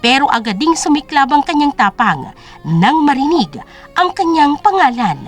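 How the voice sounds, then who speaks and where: A woman's voice: high-pitched, sing-song, normal speed. A woman storyteller reading dramatic scripted narration for an audio drama. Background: music.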